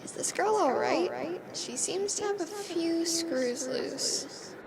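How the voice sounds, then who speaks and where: A woman's voice: very high voice, expressive, takes it slowly, gravelly, a crowd in the background, exaggerated voice. A woman playing a young, skeptical fairy newcomer with a dry, slightly hesitant delivery in an amateur voiced roleplay. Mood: unsure, skeptical.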